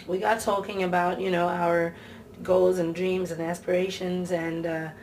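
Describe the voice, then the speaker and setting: A woman's voice: on the low side, little pitch movement, normal speed, a little mellow, a little hiss or hum, poor audio. A woman from Nigeria, a producer, talking casually in a behind-the-scenes interview. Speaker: TV series producer.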